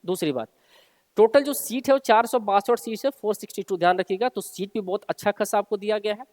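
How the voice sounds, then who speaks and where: Man, high voice, coaching teacher, informal explainer delivery, instructive, repeats key points, long reverberant hall sound. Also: fairly quick, darkish tone. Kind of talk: informal explainer, reading out and explaining a job notice.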